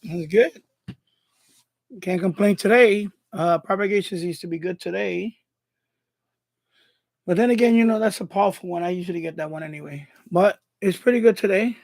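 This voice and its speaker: A man's voice: high voice, normal speed, creaky, pauses often, a little mellow, no echo. A man hosting a hobby live stream, chatting casually in a rambling, repetitive way. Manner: rambling, repeats himself.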